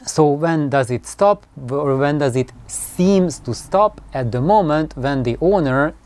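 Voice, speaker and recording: A man giving an informal explanatory talk in a hesitant, halting way, often repeating words as he goes. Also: fairly high pitch, expressive, darkish tone. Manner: acts out imagined dialogue. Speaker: loudspeaker designer.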